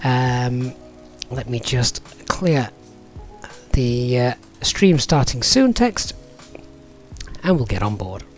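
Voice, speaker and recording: A middle-aged man with a slightly croaky, cold-affected voice, hosting a livestream in a chatty, hesitant, casual way. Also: medium pitch, animated, slow, long pauses, soft background music. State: has a cold. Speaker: flight simulator streamer and host.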